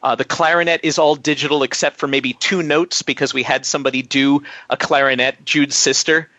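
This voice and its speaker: A man's voice: mid-range voice, steady tone, fairly quick, bright, forceful tone, dry recording. A man speaking as a musician guest in an interview, telling detailed, chatty anecdotes.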